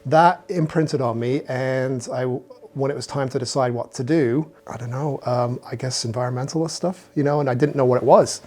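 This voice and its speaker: A man from Canada, interviewed for a documentary, recalling things in a reflective, unhurried way. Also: mid-range voice, a little mellow.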